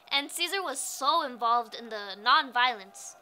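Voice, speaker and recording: An actress playing a spirited woman farmworker organizer recalling a strike in an animated, conversational way. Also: sits high, slow pace, a little hiss or hum, exaggerated voice, poor audio. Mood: earnest. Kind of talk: dramatized oral history monologue.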